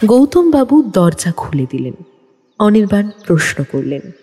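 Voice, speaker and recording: A woman's voice: mid-range voice, sing-song, measured pace, dark tone, slight background noise. A woman narrating a detective story from a script in a dramatic style. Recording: long studio reverb, music underneath.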